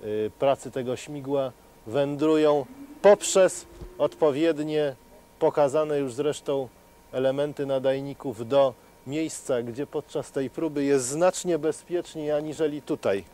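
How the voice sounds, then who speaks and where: A middle-aged man explaining helicopter testing as a TV presenter, in a measured way with frequent hesitations. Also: fairly high pitch, warm tone, a little hiss or hum. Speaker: TV science presenter. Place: outdoors at a helicopter test stand.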